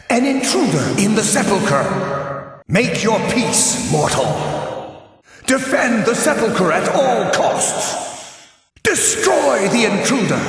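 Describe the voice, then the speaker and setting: A man's voice: on the high side, a bit bright, full character voice. A man playing a grim ghost sentinel of a shadowy tomb in a video game, solemn and menacing, with heavy reverb on his voice. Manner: solemn, menacing, slow theatrical delivery. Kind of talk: video game character voice lines. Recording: long cavernous reverb, distant sounding.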